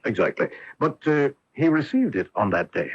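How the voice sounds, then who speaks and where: A man playing a shrewd, courteous English detective in a radio mystery drama, polite, deliberate and probing. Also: medium pitch, big pitch swings, husky. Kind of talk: old-time radio mystery drama acting. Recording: dull lo-fi AM radio broadcast.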